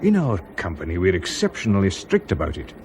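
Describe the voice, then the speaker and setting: An actor playing a loyal, experienced airline chief in a radio drama, with a clipped, emphatic and assured manner. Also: male voice, sits low, wildly swooping pitch, fairly quick, darkish tone, a little hiss or hum. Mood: firm, emphatic. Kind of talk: BBC radio drama acting.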